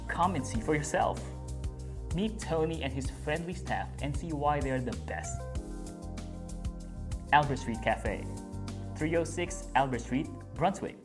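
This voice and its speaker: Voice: mid-range voice, lively intonation, measured pace, pauses often. An upbeat, persuasive radio ad read. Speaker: ad voice-over. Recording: music bed behind.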